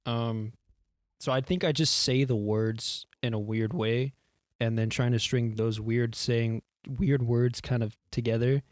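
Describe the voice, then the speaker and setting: A man's voice: mid-range voice, steady tone, a little slow, a little mellow, no echo, studio quality. Rambling young Houston-accented man. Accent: Houston Texan. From Houston, Texas. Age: young adult. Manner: rambling, laughs often, swears casually. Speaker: podcast co-host. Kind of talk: casual chat. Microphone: desk mic, leaning in.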